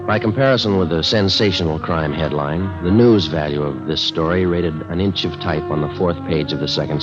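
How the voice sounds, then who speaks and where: An actor playing a terse, no-nonsense police detective in a 1950s radio drama, speaking in a clipped, deadpan way. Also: male voice, very deep voice. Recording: dull vintage radio recording with music.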